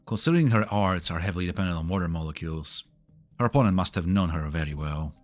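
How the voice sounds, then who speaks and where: A man's voice: low-pitched, animated, quick pace, faint music behind. A man playing an earnest, analytical tech specialist in a game fan dub, protective and precise as he explains. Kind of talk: scripted anime game dub acting.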